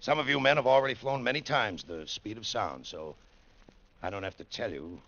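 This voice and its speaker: An actor playing an authoritative Air Force colonel in an old-time radio drama, briefing with clipped, emphatic repeats. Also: male voice, lowish voice, normal speed, creaky, a bit bright. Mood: commanding, emphatic. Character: gruff commanding middle-aged Air Force colonel, test-flight boss. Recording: muffled vintage radio recording.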